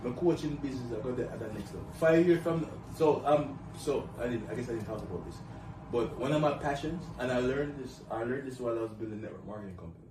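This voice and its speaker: A man's voice: mid-range voice, lively intonation, quick pace. Upbeat man in his forties, echoey room. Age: 40s. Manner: upbeat, boastful, laughs often. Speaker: guest. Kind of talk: casual interview chat. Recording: echoey room, mic far off, noisy.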